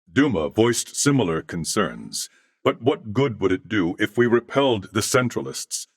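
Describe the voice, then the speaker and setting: An actor voicing a calm, courteous cybernetic alien in a video game, with a deep, gravelly voice and formal, measured, precise diction. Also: male voice, dry recording, studio quality, heavily acted. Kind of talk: dramatic video game voice acting.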